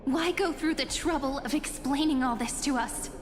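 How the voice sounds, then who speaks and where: A woman playing a brave, playful, wistful young heroine in a video game, with gentle, teasing phrasing. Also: high voice, moderate pace, natural voice. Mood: teasing, probing. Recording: long reverb with music behind.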